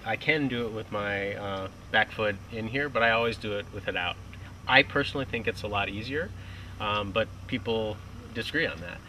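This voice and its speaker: Casual lakeside ski coach, distant mic. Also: male voice, fairly low pitch, a little fast, sharp tone. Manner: casual, with frequent restarts. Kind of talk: outdoor instructional chat. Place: outdoors on a dock by a lake. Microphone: distant camera mic. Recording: distant outdoor mic with light noise.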